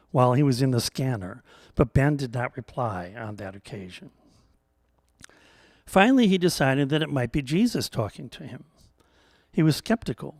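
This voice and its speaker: A man telling a scripted story as a storyteller, in a measured, gently humorous way. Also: medium pitch, no echo, studio quality.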